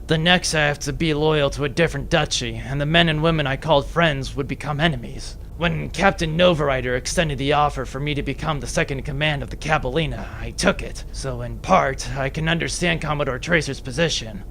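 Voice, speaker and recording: A man playing a wry veteran space privateer officer in an amateur sci-fi audio drama, speaking in a formal, measured military way. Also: a little high, vocal fry, a bit bright, a little hiss or hum. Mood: reflective.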